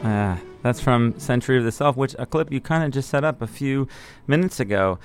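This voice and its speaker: A man's voice: medium pitch, brisk, faint music behind. A man hosting a radio interview in a friendly, chatty way. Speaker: radio host. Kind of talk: interviewing a guest.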